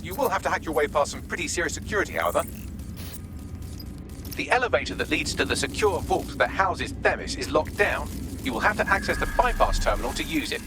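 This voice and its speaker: A man's voice: a little high, lively intonation, brisk, over music. A man playing a witty, sardonic artificial intelligence assistant in a video game, with a dry, wordy, sarcastic delivery. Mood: dry, instructive. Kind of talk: scripted video game mission dialogue.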